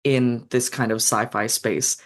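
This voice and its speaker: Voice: mid-range voice, fairly even intonation, measured pace. Chatty author describing a story idea. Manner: enthusiastic, rambling, with frequent ums and likes. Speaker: author guest. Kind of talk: casual podcast interview.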